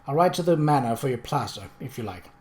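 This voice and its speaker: A strong-willed young lady in an audiobook mystery, put on by a male reader. She is brisk, crisp and spirited, with a defiant edge. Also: medium pitch, animated, darkish tone, lightly acted. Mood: resolved.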